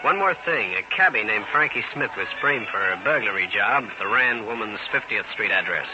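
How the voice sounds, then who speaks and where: An actor playing a wisecracking New York private detective in an old radio drama, terse, slangy and wry. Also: male voice, fairly low pitch, lively intonation, moderate pace, close to an everyday voice. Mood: businesslike. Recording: dull, hissy old radio recording.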